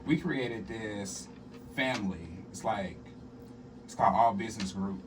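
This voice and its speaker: A man's voice: fairly low pitch, a little slow, far from the mic, noisy background. A young man from southern Arkansas, a comedian and musician, chatting casually as an interview guest and telling stories with a laugh. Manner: laid-back, laughing, storytelling.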